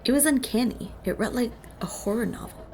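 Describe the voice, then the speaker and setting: A woman's voice: on the high side, fairly quick, a little mellow, a little hiss or hum, lightly acted. A woman playing a young college student of the occult, narrating her story in a wry, world-weary, confiding way for an audio drama. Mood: intrigued. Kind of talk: first-person scripted audio drama narration.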